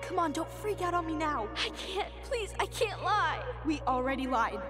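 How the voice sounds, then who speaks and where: A woman's voice: high voice, big pitch swings, normal speed. An actress playing the panicking, pleading inner voice of an anxious young woman in a video game. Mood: panicked, pleading. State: distressed. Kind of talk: emotional video game acting. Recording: long reverb under loud music.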